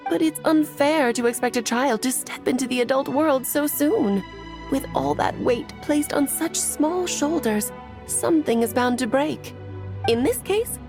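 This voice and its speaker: An actress playing a playful, theatrical grown witch in a video game, eloquent, airy and teasing. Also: high voice. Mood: measured, explanatory. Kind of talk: video game cutscene acting.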